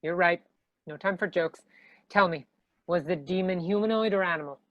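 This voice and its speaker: A woman playing a wry, brisk student of the occult in a comedy table read. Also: fairly low pitch, close to an everyday voice. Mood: serious. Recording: dull, muffled lo-fi sound.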